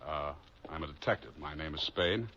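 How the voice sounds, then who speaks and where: A man's voice: a bass voice, normal speed, pushed and bright, a little hiss or hum, heavily acted. An actor playing a tough, wisecracking male private detective in a 1940s radio drama, dry and sardonic in his delivery. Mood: stern. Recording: dull old radio recording under music.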